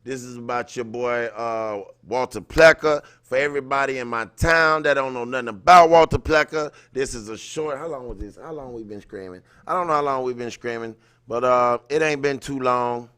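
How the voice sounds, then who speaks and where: A man's voice: mid-range voice, normal speed, few pauses, a bit bright, clear, resonant tone, dry recording. Informal man livestreaming, close dry sound. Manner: informal, slangy, greets viewers by name mid-thought. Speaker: livestream host. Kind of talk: casual livestream chat with read-aloud passages.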